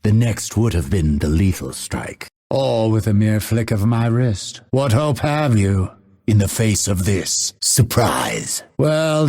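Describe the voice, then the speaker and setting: A man voicing a vengeful exiled assassin in a video game, grim and proud, with a raspy, theatrical, ominous delivery. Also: lowish voice, darkish tone, full character voice. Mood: coolly taunting. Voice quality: gravelly, raspy. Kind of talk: dramatic video game voice lines.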